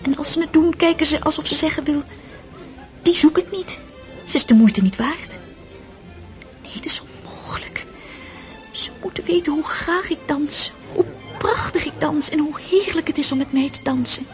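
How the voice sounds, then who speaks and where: A woman's voice: sits high, moderate pace, soft background music, lightly acted. An actress in a Dutch radio drama playing an eager, romantic young girl at her first grand ball, dreamy and yearning. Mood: yearning, distressed.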